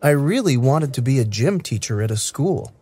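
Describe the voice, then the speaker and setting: Brief: male reader for learner audio, careful diction, lively intonation, dry booth sound. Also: mid-range voice, normal speed, dry recording. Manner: clear, careful, evenly paced delivery. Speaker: English listening practice reader. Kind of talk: slow scripted reading for learners.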